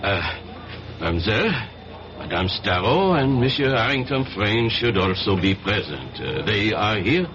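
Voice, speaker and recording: An actor playing a courteous but firm police inspector in a radio drama, speaking formally and deliberately with polite hesitations. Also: male voice, fairly low pitch, sing-song, fairly bright tone. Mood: polite, businesslike. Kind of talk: old-time radio drama acting. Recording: muffled, noisy old radio recording.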